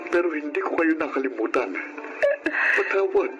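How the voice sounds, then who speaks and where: A man's voice: fairly high pitch, lively intonation, normal speed, music in the background, lightly acted, low-quality recording. A man playing a kindly, remorseful middle-aged funeral parlor owner in Filipino melodrama style. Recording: clear background noise, radio-like sound.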